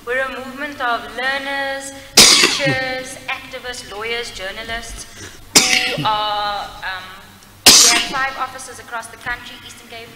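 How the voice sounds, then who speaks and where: A young woman from South Africa giving a public presentation at a podium mic, halting and correcting herself as she goes. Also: on the high side, steady tone, heavy background noise. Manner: halting, self-correcting, apologetic asides. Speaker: civil society presenter. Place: large hall, indoors. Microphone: mic on a stand at a podium. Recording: echoey hall from a distance.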